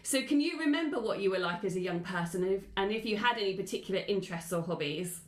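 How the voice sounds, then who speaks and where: A woman hosts an interview podcast. Her voice sits at a middle pitch, and the recording is roomy, with noticeable echo around her words. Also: lively intonation, fast talker. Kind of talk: scripted podcast introduction, then conversational interview.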